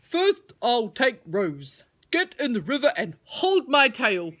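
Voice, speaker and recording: A woman's voice: on the high side, very animated, slow, voice pushed up, full character voice. A woman voicing a boastful, blunt little male worm in a children's storybook. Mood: helpful. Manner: blunt, boastful, loudly emphatic.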